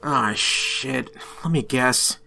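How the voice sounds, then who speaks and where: An actor playing a gruff, weary small-town police officer in a radio drama. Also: male voice, medium pitch, extremely slow, sharp tone, exaggerated voice.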